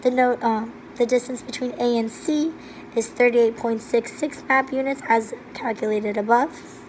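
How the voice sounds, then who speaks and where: Hoarse woman explaining calculations. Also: a little high, a little slow, slight background noise, rough recording. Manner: steady, methodical, step-by-step. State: hoarse from laryngitis. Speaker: student presenter. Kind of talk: recorded slide walkthrough.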